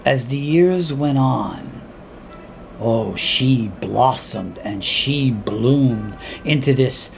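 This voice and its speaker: A man reading his own dramatic monologue in a deliberate, intense spoken-word style, with weighty pauses and repeated words. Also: mid-range voice, expressive, gravelly, soft background music.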